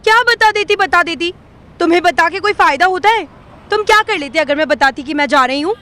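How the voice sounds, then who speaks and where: A woman's voice: very high voice, expressive, brisk, bright, forceful tone, exaggerated voice. A woman playing a feisty, sarcastic young woman in an audio drama, exasperated, with an Indian accent and English mixed in. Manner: sarcastic, mixes English into Hindi. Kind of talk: Hindi audio drama acting. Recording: music behind the voice.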